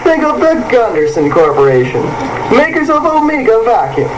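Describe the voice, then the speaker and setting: A man's voice: big pitch swings, normal speed. A man playing a mock-earnest corporate spokesman in a parody radio commercial. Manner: mock-earnest sales pitch. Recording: noisy old live cassette tape.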